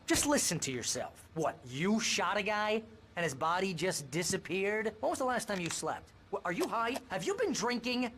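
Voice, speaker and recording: A lively, anxious, nagging best friend. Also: male voice, high-pitched, normal speed, a little hiss or hum. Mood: worried, exasperated. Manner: exasperated, wordy, sarcastic nagging. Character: anxious, talkative, loyal male best friend. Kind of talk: video game voice acting.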